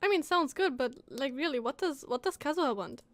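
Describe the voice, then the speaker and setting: A woman's voice: sits high, animated, brisk, fairly bright tone, dry recording. A woman streamer giving casual, reactive game commentary, musing aloud as she plays. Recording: game music behind the voice. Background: game music.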